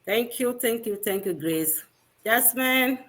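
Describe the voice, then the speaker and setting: A woman's voice: fairly high pitch, animated, slow, a bit bright. Upbeat woman from ghana hosting a live video call, keeps asking the viewers stuff. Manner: upbeat, asks the audience call-and-response questions. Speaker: host. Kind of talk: live stream chat.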